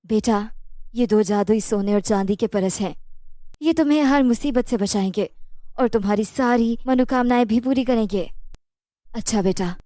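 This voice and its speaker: A woman's voice: a little high, normal speed, dark tone, no room sound, studio quality, lightly acted. A woman voicing the tender ghost of a dead mother in a cartoon story, soothing and reassuring. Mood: gentle, reassuring. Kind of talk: Hindi cartoon moral story.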